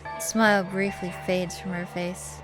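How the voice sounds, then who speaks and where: A woman's voice: lowish voice, little pitch movement, measured pace, over music. A woman streaming a video game playthrough, reading aloud and commenting in a playful, jokey manner.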